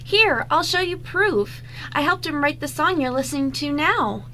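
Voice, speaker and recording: A woman's voice: sits high, lively intonation, moderate pace, pushed and bright, slight background noise. A woman voicing a cheerful, eager young girl in an amateur radio play. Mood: happy, eager. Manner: perky, eager. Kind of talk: amateur radio script reading.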